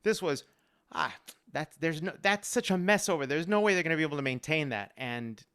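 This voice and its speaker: A man with a resonant, clean voice that sits a little high. He speaks as a guest on an online panel. His storytelling is earnest and personal, leaning on repeated phrases for emphasis. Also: lively intonation, fairly quick, a bit bright, smooth. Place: remote live-stream video call.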